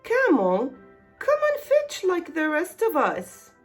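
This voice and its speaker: A woman puts on a dutiful working animal from a bedtime tale. The voice is pushed up high and sounds singsong and urging. Music runs behind it. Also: wildly swooping pitch, slow pace, exaggerated voice.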